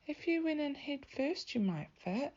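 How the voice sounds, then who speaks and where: High-pitched little boy, played by a woman, earnest, pleading, worried yet hopeful, storybook character, slightly dull recording. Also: animated, unhurried, a little mellow. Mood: hopeful, coaxing.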